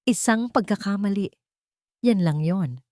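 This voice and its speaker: A woman's voice: mid-range voice, lively intonation, a little slow, a little mellow, no echo, clean studio sound, lightly acted. A woman with a Filipino accent voicing a cold, domineering wealthy male employer in an audio drama, curt and final. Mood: dismissive.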